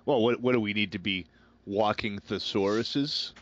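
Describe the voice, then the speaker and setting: Low-ish voiced guy, laid-back podcast cohost, swears, kinda muffled audio. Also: normal speed, a bit bright. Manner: laid-back, short agreeing replies, swears. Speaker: podcast co-host. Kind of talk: casual crude banter. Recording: slightly dull lo-fi sound.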